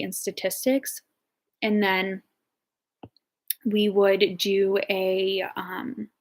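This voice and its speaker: A woman in her early twenties, a nursing student, chatting casually and answering viewer questions in a talkative, upbeat way with lots of fillers. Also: medium pitch. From Ohio, United States. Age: 20s.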